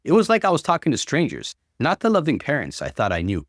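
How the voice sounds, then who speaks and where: A man reading a story as a narrator in an expressive, dramatic way, acting out the dialogue. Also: medium pitch, quick pace, no echo, clean studio sound. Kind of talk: dramatic scripted narration of online stories.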